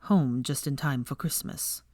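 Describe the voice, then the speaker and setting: A woman's voice: a bass voice, a little slow, a little mellow, no echo. A woman voicing a cool, smirking young man with a dry, understated delivery in a story reading. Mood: guarded.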